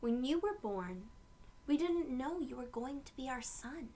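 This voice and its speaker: Woman reading as a tender, slow-spoken storybook mother. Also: high-pitched, lively intonation, heavily acted. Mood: tender, reassuring. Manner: soft, soothing, affectionate. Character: tender, reassuring mother of a young boy.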